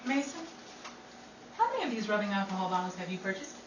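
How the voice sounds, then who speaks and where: A woman's voice: medium pitch, big pitch swings, quick pace, close to an everyday voice. A woman playing a sassy, wisecracking 1930s New York actress, theatrical and wordy, in a screwball radio comedy style. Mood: wry, teasing. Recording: echoey, distant, noisy live room with crowd.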